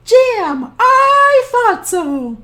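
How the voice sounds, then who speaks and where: A woman voicing a kindly, doting old woman in a storybook, speaking in a high, sing-song way. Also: very animated, drawn-out words, sharp tone, exaggerated voice. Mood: cheerful. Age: older. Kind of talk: storybook character voice.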